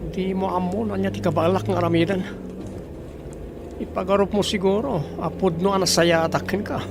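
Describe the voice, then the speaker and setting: A man's voice: sits high, fairly even intonation, normal speed, noisy background. A man with an Ilocano accent acting a scheming, vengeful friend in an audio drama, sly with a menacing undertone. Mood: brooding, menacing. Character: scheming, vengeful male friend hiding a grudge.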